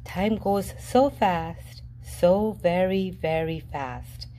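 A woman reading a children's picture book aloud in a lively, sing-song storybook voice with playful jumps in pitch. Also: extremely slow. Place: indoors at a table, reading an open book. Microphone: camera above the book, reader unseen.

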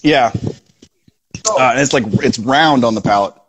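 A man in his thirties talks through a bourbon tasting in an easygoing, upbeat way. His voice sits at an ordinary middle pitch. He is heard over a phone video call from a backyard. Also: moderate pace, breathy edge, poor audio. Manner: relaxed, enthusiastic about flavors. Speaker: whiskey reviewer co-host. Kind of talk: casual tasting chat. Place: outdoors in a backyard.

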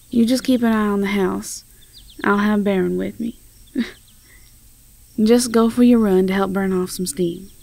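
A woman playing a sweet, quick-witted young farm woman with a Southern US accent in an audio roleplay, folksy and teasing. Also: medium pitch, creaky, dark tone.

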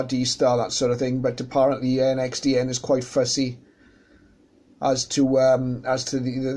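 A man's voice: medium pitch, warm tone. A man talking casually as an amateur radio operator, explaining things in a conversational way. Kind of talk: casual radio contact chat. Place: indoors beside radio equipment.

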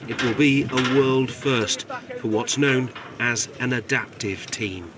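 A man delivers scripted news narration in a measured, even tone. Boat engines and lapping water sit clearly behind his voice. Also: medium pitch, slight background noise. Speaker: news reporter.

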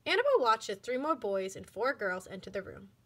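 A woman reading a children's book aloud like a schoolteacher, in an expressive, deliberate manner. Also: mid-range voice, husky. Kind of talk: children's storybook narration.

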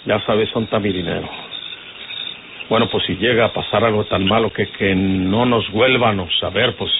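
An actor playing a domineering older outlaw boss in an old radio drama, with a rural northern Mexican accent and a folksy, commanding manner. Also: male voice, on the low side, fairly even intonation, moderate pace, lightly acted. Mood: stern, fatherly. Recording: noisy, tinny vintage radio recording.

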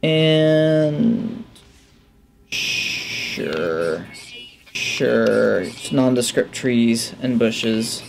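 A man's voice: mid-range voice, extremely slow, creaky, slight background noise. A man giving casual, offhand gameplay commentary, musing aloud to himself into a headset mic. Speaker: gaming let's player. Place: indoors at a desk.

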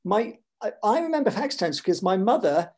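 An older man talking on a video call from his home, an animated and digressive speaker with many restarts in a reflective literary conversation. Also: high voice, a little fast. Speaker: author in panel conversation. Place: home room with shelves.

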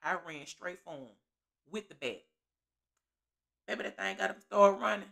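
A woman's voice: mid-range voice, moderate pace, gravelly. Sassy middle-aged woman telling a story. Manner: animated, sassy, preachy, laughs often. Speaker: storytelling vlogger. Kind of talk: casual storytime vlog. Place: living room at home, seated on a couch.

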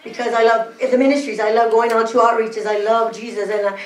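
A middle-aged woman giving an excited, slow, deliberate graduation testimony on a church stage. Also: fairly high pitch. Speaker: program graduate. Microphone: handheld mic. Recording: echoey church hall, far off, crowd.

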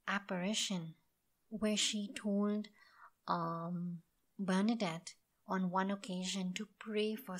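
A woman hosts a devotional podcast in a fairly low voice. She talks earnestly and reflectively, often pausing with fillers and circling back to restate her point. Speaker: podcast host.

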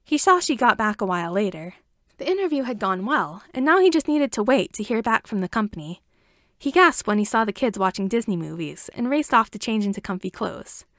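Woman reading a story out loud, kinda high voice, really up and down, dry sound. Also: no room sound. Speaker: amateur audiobook narrator. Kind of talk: scripted story narration.

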